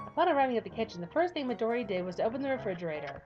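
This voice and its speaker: A playful woman reading a game story aloud and chatting between passages, her voice fairly high and very lively. She jokes at her own expense. The recording is slightly muffled, with faint music running beneath. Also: racing. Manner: playful, giggly, self-mocking. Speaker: YouTuber. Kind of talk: casual game commentary, reading a visual novel aloud.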